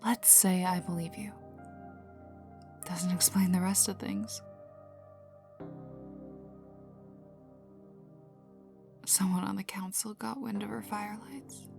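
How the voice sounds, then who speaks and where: A woman voicing a tough, bitter, sardonic young female villain in an intimate ASMR audio roleplay, often dropping into breathy half-whispers and swearing. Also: mid-range voice, fairly even intonation, normal speed, lightly acted. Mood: suspicious, incredulous. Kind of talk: intimate ASMR roleplay, one side of dialogue. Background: ambient music.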